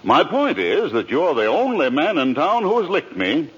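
A cold, wheedling old tycoon in a vintage radio drama. His husky voice swoops dramatically from syllable to syllable, pompous and condescending. The recording is dull and heavily reverberant. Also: fairly high pitch, very animated, normal speed, exaggerated voice. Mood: scornful persuasion. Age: older. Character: shrewd, domineering old rich businessman, cold and wheedling. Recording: muffled vintage radio broadcast, long reverb.

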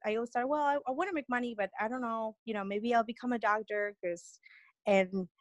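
A woman's voice: fairly high pitch, fairly even intonation, fairly quick, no echo. A young woman from Peru, a guest on an interview podcast, telling her story in a rambling, earnest way with many restarts.

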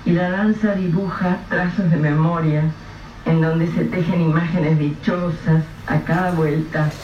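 A man with a Rioplatense accent narrating a radio drama in a measured, vivid storytelling manner. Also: a little high, fairly even intonation. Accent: Rioplatense Spanish. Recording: echoey, distant, dull, faint hiss.

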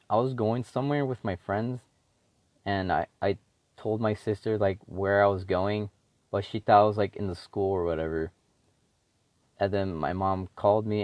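A teenage boy hosts his own show, rambling casually with constant swearing, fillers and restarts. His voice sits fairly low. The recording is dry and dull, with a lo-fi sound. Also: no echo. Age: teens. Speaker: solo podcaster.

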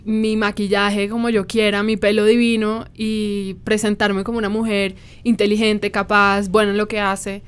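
A woman's voice: mid-range voice, little pitch movement, moderate pace, hardly any pauses, clear, resonant tone. A woman hosting a conversational discussion, emphatic and repeating phrases for emphasis.

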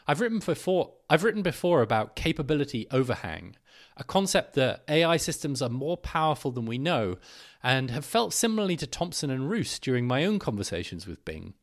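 A man giving a scripted narration, reading an article aloud. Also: medium pitch.

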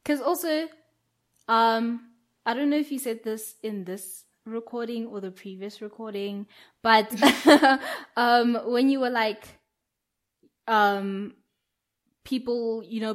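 Young woman, podcast co-host, chatty, laughs a lot, somewhat high voice. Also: fairly even intonation, a little slow. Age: young adult. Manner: chatty, laughs often, many fillers. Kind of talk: casual chat.

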